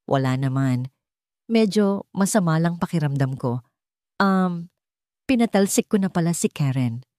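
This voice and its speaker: A woman acts out a protective young father in an audiobook drama. He forces a normal, reassuring tone over his anguish. The sound is soft-edged and dry. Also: lowish voice, lively intonation, measured pace, no room sound. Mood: forced calm. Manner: restrained, reassuring, forcing a normal tone. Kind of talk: dramatic audiobook character dialogue.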